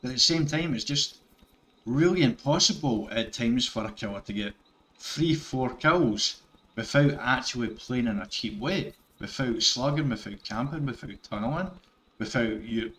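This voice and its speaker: A man's voice: medium pitch, normal speed, a bit bright. A middle-aged Scottish man giving rambling casual commentary over gameplay, correcting himself and chuckling as he goes. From Scotland. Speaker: gaming YouTuber.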